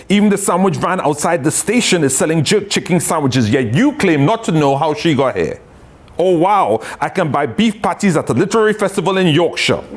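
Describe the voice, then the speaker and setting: A poet from Trinidad with a Trinidadian accent, chatting loosely with many tag phrases and reading his own poetry. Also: a little high.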